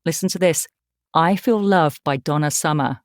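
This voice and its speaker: A middle-aged woman with an English accent talks casually as an interview guest. Her voice sits slightly low, and she sounds upbeat and reflective. The recording is close and dry, with no room sound. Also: moderate pace, no room sound. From East Anglia, England. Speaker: podcast guest, voice actor.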